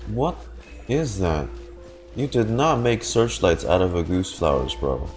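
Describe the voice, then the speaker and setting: Male game streamer, deep and unhurried, informal rambling commentary, mild swearing. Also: sing-song, a little mellow. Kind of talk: casual livestream game reaction commentary. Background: game music.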